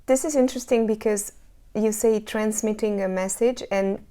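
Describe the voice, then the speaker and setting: A woman's voice: mid-range voice, steady tone, moderate pace, warm tone. A woman with a Hungarian accent, a singer answering interview questions thoughtfully, often restarting her sentences as she searches for words. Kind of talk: casual interview talk.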